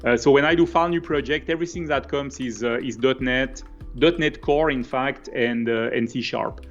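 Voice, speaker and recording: A man with a Swiss French accent giving a conversational live coding walkthrough as a software developer. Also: mid-range voice, moderate pace, lo-fi sound, faint music behind. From French-speaking Switzerland.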